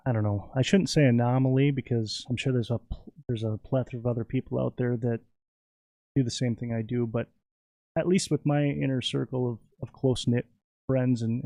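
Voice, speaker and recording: A man's voice: mid-range voice, warm tone, dry recording. A man from Minnesota, a podcast guest, chatting in a laid-back, rambling way with lots of fillers. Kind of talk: casual podcast chat.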